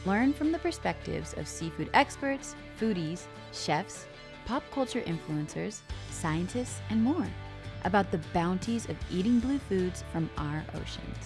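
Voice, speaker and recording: A woman's voice: on the low side, expressive, a little slow. A woman announcer reading scripted promotional narration. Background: guitar music bed.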